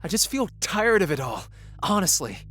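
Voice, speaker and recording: Weary, bright-voiced man played by an actor. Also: high voice, sing-song, normal speed, slightly rough, soft background music, full character voice. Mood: weary, doubtful. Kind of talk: audio drama acting.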